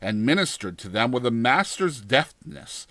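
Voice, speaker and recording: A man reading a gothic horror story as a dramatized audiobook, with deliberate, theatrical delivery and formal, dramatic pauses. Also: medium pitch, very animated, a bit bright, no room sound. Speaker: audiobook narrator.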